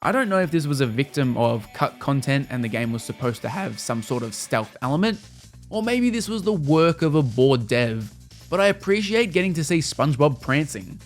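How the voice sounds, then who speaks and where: Male video essayist, fairly high voice, casual and wry scripted review read over music. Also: expressive, normal speed.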